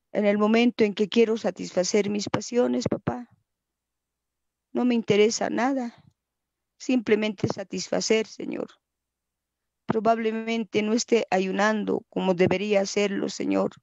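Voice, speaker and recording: Slow, earnest woman praying aloud. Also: mid-range voice, no room sound, muffled recording. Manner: earnest, pleading, often trails off softly at phrase ends. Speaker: person praying. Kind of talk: spontaneous devotional prayer.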